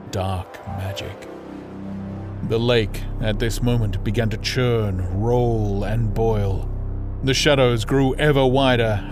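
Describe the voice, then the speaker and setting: Slow, dramatic male audiobook narrator. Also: lowish voice. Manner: grave, theatrical. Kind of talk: scripted dramatic fantasy narration.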